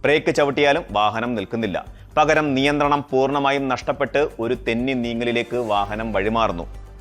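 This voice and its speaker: Male dramatic narrator over soft music. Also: mid-range voice. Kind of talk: scripted dramatic explainer narration. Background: background music.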